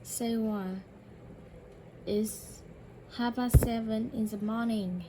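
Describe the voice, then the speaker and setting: A woman practising English by repeating a dialogue line by line, slowly and carefully, with occasional self-corrections. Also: on the high side, fairly even intonation, heavy background noise. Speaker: English learner.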